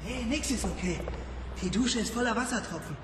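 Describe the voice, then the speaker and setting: A man's voice: very high voice, lively intonation, fairly quick, background noise. An actor playing a bold, curious young man turned amateur investigator, speaking colloquially and urgently in a radio drama. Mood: urgent, excited. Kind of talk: German radio drama acting.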